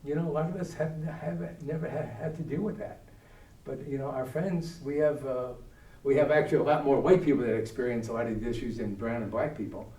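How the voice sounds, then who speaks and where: A man's voice: mid-range voice, fairly even intonation, fairly quick, hardly any pauses, mellow. Man giving a talk in an echoey hall. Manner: conversational, asks the audience questions. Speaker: guest speaker. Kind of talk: adult education talk. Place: church hall. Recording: echoey hall, distant mic.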